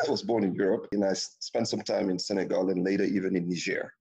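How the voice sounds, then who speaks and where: Low husky gravelly man. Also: poor audio. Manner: relaxed, rambling with restarts. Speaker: guest, startup founder. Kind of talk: casual interview answers.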